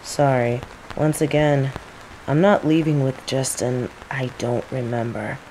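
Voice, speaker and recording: A woman's voice: very deep voice, measured pace, low-quality recording. A woman playing a teasing rival mage who interrogates a captive with casual, coaxing menace in an audio roleplay. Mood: quietly threatening. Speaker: voice actor in scripted audio roleplay. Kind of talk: intimate scripted audio roleplay acting. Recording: steady rain noise behind the voice. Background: rain.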